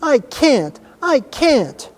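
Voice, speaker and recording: A man's voice: extremely high pitch, sing-song, very slow, faint music behind, pitched above the performer's own voice, heavily acted. A man voicing a hysterical, sickly young boy in a storybook, in a choked, sobbing way. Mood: sobbing, helpless. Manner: choked, gasping, wailing.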